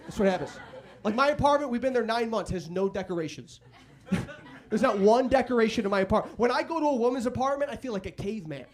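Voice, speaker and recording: A young man from Chicago with a Chicago accent doing a stand-up comedy set, chatting loosely and shouting out crude acted-out quotes. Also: very high voice, lively intonation, quick pace. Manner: conversational, crude punchlines, loud acted-out quotes. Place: comedy club stage. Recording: live club audience audible. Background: audience laughter.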